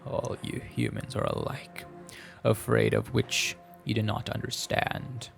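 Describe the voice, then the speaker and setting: Visual novel monster played by a man: contemptuous she-beast, breathy and guttural, formal, slow menace. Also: on the low side, little pitch movement, faint music behind. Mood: menacing. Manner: slow, haughty, formal speech. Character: menacing female forest monster, contemptuous of humans.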